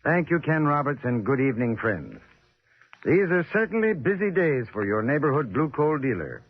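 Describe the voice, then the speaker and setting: Tinny 1940s radio pitchman, husky man. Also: very animated, normal speed. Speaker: advertising spokesman. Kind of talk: scripted 1940s radio advertisement.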